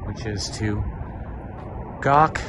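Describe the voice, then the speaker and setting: A man's voice: fairly low pitch, drawn-out words, background noise. A man in his thirties vlogging a walking tour outdoors, chatting casually with wry asides and asking himself questions. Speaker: travel vlogger. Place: outdoors in open desert. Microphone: camera or phone mic, handheld.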